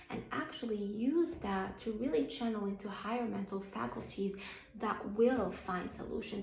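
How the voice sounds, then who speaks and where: A woman's voice: medium pitch, creaky, a little mellow. A woman from Morocco with a Moroccan accent, speaking as a motivational coach in an earnest, emphatic self-help talk to her channel's viewers. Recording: roomy echo, faint music underneath.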